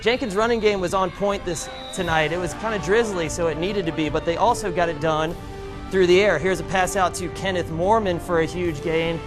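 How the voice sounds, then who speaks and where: American dude doing football highlight commentary, highish upbeat voice, music clearly playing behind. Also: male voice, brisk, rough recording. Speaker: sports reporter. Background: music bed.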